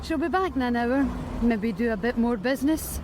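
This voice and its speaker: An actress playing a blunt, streetwise young street worker with a Scottish accent in a radio drama, wry and matter-of-fact. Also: female voice, a little high, lively intonation, normal speed, background noise, close to an everyday voice. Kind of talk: BBC radio drama acting.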